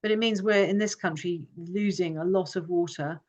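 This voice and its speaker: A woman's voice: lowish voice, moderate pace. A woman who grew up in Hong Kong, giving an earnest forum talk with frequent fillers and restarts. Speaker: forum speaker. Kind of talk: informal presentation.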